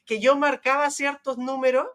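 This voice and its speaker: A woman's voice: high voice, little pitch movement, normal speed, a bit bright. A middle-aged woman in casual, nostalgic conversation, telling anecdotes and often checking for agreement with little tag questions. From Mexico. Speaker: podcast co-host.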